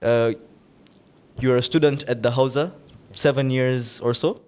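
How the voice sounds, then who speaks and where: A man's voice: mid-range voice, steady tone, measured pace, a little mellow. A man hosting a podcast, opening the episode and asking his guest curious questions in an upbeat way. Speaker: podcast host. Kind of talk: podcast interview.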